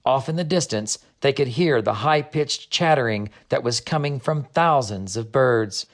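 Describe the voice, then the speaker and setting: A man narrating a children's adventure story, reading from a script in a measured, expressive way. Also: mid-range voice. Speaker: narrator, author reading own book.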